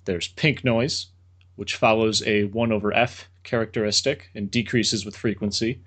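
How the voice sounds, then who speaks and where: A man with a fairly low voice explains engineering ideas as a podcast guest. He talks plainly and casually, slipping in dry jokes as he goes. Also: fairly even intonation, moderate pace, fairly bright tone, husky. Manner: explanatory, dry joking asides. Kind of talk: explaining technical topics in casual chat.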